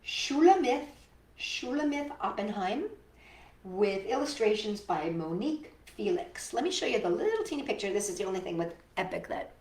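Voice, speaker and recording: A woman hosting a storytime for young children, reading and singing along in an animated, encouraging way and addressing her listeners directly. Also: medium pitch, echoey room. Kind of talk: read-aloud and sing-along for young children.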